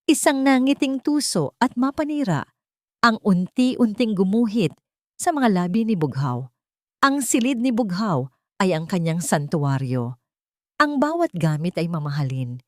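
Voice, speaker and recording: A woman's voice: mid-range voice, sing-song, a little slow, dry recording, studio quality. A woman narrating a scripted dramatic story as a storyteller.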